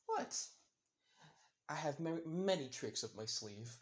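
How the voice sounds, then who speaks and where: A man's voice: a little high, lively intonation, slow. A man voicing an easygoing, teasing teenage boy. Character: mild, playful teenage boy.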